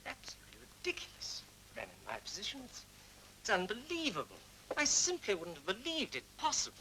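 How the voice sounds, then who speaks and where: An actor playing a pompous, indignant British recruit who thinks himself above the army, in a 1940s film. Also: male voice, high-pitched, wildly swooping pitch, a little fast, noisy background, full character voice. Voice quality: pompous, huffy.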